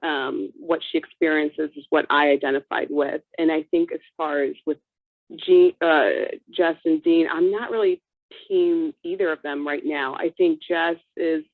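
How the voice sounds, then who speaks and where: Deep-voiced woman musing over a tinny line. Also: steady tone, no room sound. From Chicago, USA. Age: 30s. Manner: reflective, halting with frequent ums. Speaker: viewer joining a watch-along chat. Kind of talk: casual chat. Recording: tinny phone-like dry line.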